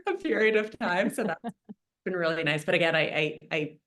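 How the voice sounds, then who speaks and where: A young woman from Canada speaks as an interview guest, her voice sitting low. She talks in a loose, chatty way, full of fillers and restarts. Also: normal speed, fairly bright tone. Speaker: guest artist. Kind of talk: casual interview.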